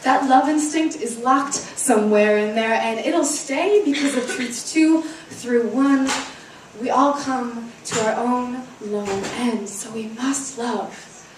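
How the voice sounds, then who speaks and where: A woman with a fairly high voice performs slam poetry with dramatic, deliberate phrasing and drawn-out words. She is heard from a distance in a very echoey room, with faint noise in the recording. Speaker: spoken word poet.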